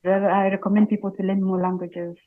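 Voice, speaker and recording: A woman's voice: medium pitch, flat delivery, fast talker. Phone-like audio, Tanzanian woman interviewee. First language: Swahili. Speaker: interviewee, Swahili language teacher. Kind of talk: conversational interview answers. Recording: muffled, phone-like band-limited call audio.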